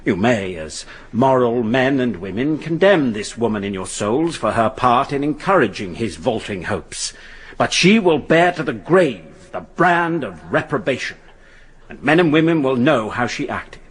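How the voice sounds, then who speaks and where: An actor playing a grave defence barrister addressing a jury in a radio drama, with solemn, emphatic rhetoric. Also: male voice, medium pitch, very animated, unhurried, slightly rough, rough recording. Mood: solemn, impassioned. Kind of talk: courtroom oratory in radio drama.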